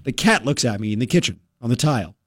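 A male radio host telling a story in casual talk-radio style, animated and full of quick asides. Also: mid-range voice, no echo, clean studio sound.

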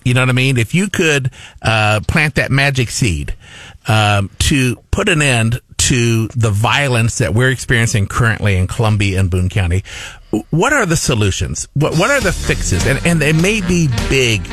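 A man's voice: medium pitch, expressive, moderate pace, fairly bright tone, dry recording, clean studio sound. A middle-aged man hosting a radio talk show, earnest and rambling, restarting his sentences often. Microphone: studio microphone.

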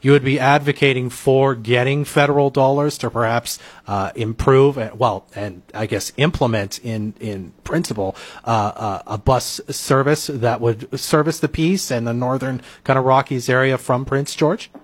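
A man's voice: mid-range voice, normal speed, few pauses. Hesitant male radio host with fillers. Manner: hesitant, with many filler sounds. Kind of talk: radio interview. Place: radio studio.

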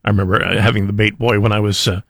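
A man's voice: on the low side, flat delivery, rapid-fire, vocal fry, fairly bright tone, no room sound. A man co-hosting a casual morning radio show, making dry, laid-back remarks.

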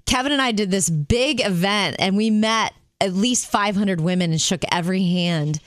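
A woman co-hosting a radio show, telling a story in an animated way and acting out the quotes. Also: mid-range voice, normal speed, dry recording, studio quality. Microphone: studio microphone.

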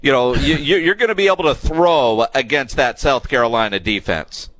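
A man's voice: medium pitch, moderate pace, a bit bright, no echo, poor audio. A man hosting a sports radio show in a conversational style, recorded in a studio.

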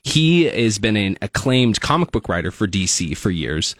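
A man's voice: lowish voice, big pitch swings, moderate pace, fairly bright tone, dry recording. A young man, a news reporter chatting upbeat in a radio studio. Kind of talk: casual studio interview.

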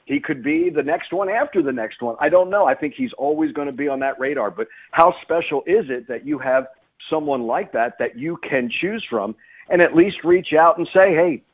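A man's voice: fairly high pitch, lively intonation, moderate pace, slightly rough. Male sports radio guest, play-by-play type, emphatic and repetitive for stress, slightly lo-fi sound. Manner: emphatic, repeats phrases for stress. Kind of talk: animated sports radio interview talk.

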